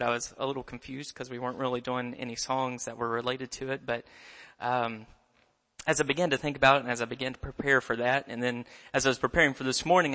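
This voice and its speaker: A man in his late twenties preaching a sermon in a church, talking in a relaxed, conversational way with touches of self-deprecating humor. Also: mid-range voice, gravelly. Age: 20s. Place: church sanctuary. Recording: long church reverb.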